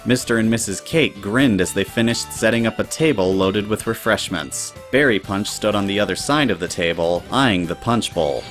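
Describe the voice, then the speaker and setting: A man's voice: on the low side, a bit bright. A man reading scripted story narration as the narrator of an audio drama.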